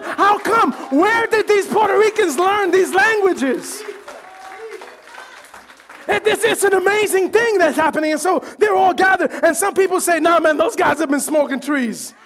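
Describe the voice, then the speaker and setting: A pastor preaching a sermon with animated delivery, joking and acting out the voices of the crowd. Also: male voice, very high-pitched.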